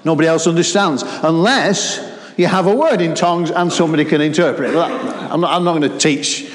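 A middle-aged man with a Lancashire accent preaching a sermon, animated and calling out to the congregation. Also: fairly high pitch, a little fast. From Lancashire, England. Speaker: guest preacher. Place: church hall. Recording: roomy hall with long echo.

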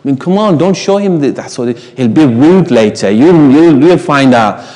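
A man's voice: medium pitch, lively intonation, normal speed, dark tone, in a large hall. A man whose first language is Farsi, preaching a sermon in an emphatic way, asking the congregation rhetorical questions.

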